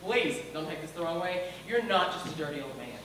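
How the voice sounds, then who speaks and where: An actor playing a timid, neurotic accountant in broad stage-comedy style, meek, over-polite and anxious. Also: male voice, on the high side, lively intonation, fairly quick, natural voice. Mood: meek, nervous. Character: timid, neurotic, hysterical male accountant with childish streak. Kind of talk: broad comic stage acting. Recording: echoey theatre, distant mic, noise, audience.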